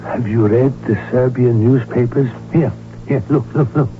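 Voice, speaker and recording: A man's voice: mid-range voice, fairly even intonation, normal speed, natural voice. An actor playing an outspoken, agitated royal physician who tells his story with urgency and emphasis in a 1970s radio drama. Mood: urgent, pleading. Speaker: doctor character who also narrates. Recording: tinny narrowband old radio recording, music behind.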